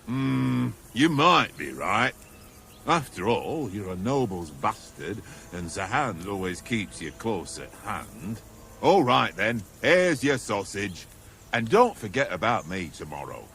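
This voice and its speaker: A man's voice: on the low side, big pitch swings, takes it slowly, creaky, fairly bright tone, a little hiss or hum, full character voice. An actor playing an easygoing servant who loves his food in a game, with a chatty, grumbling manner. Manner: chatty, grumbling, good-natured. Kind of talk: video game cutscene acting.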